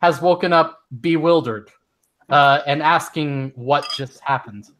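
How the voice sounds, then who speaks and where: A young man acting as game master, narrating a casual tabletop session in halting speech with many restarts. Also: on the high side, fairly bright tone, slight background noise.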